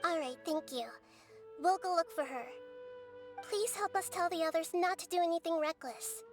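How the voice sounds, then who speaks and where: A soft, high child goddess. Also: female voice, moderate pace. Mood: earnest. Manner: calm, soft-spoken, thoughtful. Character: gentle wise young goddess in girl form. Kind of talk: video game voice acting. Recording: game audio with background music.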